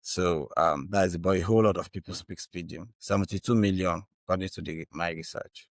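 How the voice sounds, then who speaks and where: Male Nigerian interview guest, native Igbo speaker, low voice, earnest explainer, close dry sound. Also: steady tone, brisk, no room sound. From Anambra State, Nigeria. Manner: earnest, checks in with tags like "right" and "you know". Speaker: interview guest, Bitcoin educator.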